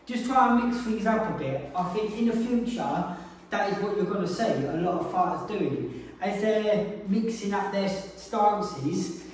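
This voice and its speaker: Echoey high-voiced man teaching fighting. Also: animated, unhurried, slight background noise. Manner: explains while demonstrating moves. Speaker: martial arts instructor. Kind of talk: casual spoken technique lesson. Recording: very echoey room, mic far off.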